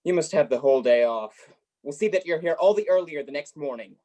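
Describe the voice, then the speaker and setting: A man's voice: fairly high pitch, expressive, a little fast, warm tone. A man acting a grumpy, miserly old man in a radio play, blustering and grumbling.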